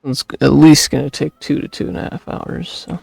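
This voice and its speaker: A man with a gravelly, husky voice, a game streamer who reads story text and comments casually into a close mic. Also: a little high, fairly even intonation, brisk, darkish tone, no room sound, faint music behind.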